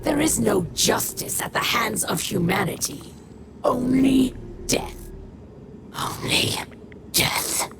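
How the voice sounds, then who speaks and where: A woman's voice: on the high side, lively intonation, takes it slowly, gravelly, long pauses, very noisy, full character voice. An actress playing a proud, bitter female alien warrior held captive in a video game, haughty and disdainful in every phrase. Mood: menacing, bitter. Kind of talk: video game character acting.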